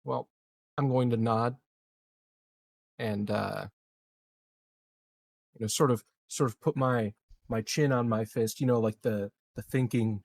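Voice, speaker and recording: Halting male tabletop player, dry sound. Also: mid-range voice, fairly even intonation, gravelly, dry recording. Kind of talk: casual roleplay chat.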